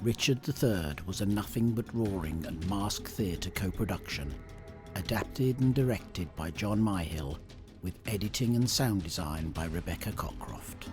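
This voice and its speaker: Brief: male credits announcer, lowish voice, steady list read, music playing underneath. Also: measured pace. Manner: plain, even listing. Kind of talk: scripted credits reading. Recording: voice over music.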